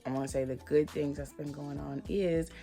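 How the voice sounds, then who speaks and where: A woman with a slightly hoarse voice, chatting casually and rambling as she reviews hair products. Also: deep voice, fairly even intonation, moderate pace, dark tone, faint music behind. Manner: chatty, rambling, lots of fillers. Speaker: hair vlogger.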